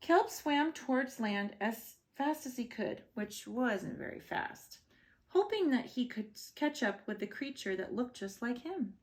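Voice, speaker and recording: A woman's voice: fairly high pitch, expressive, measured pace. A woman reading a children's picture book aloud as a bedtime story, expressively and with short asides. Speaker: storybook reader.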